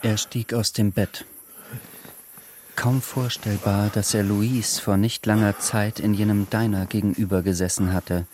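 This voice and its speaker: A man's voice: lowish voice, little pitch movement, a little slow, a little mellow, a little hiss or hum. A man narrating a literary radio drama in a scripted storytelling style. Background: faint music.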